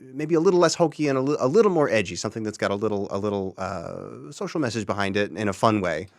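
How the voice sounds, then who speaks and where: A man's voice: on the low side, animated, quick pace, vocal fry, dry recording, clean studio sound. A man speaking in emphatic bursts, often repeating words, as an audio drama creator in an interview. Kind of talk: animated group interview.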